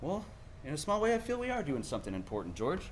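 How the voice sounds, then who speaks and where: An actor playing a weary, kindly older small-town father in a 1940s radio play, speaking gently and haltingly. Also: on the high side, huge pitch swings, slight background noise. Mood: gently earnest.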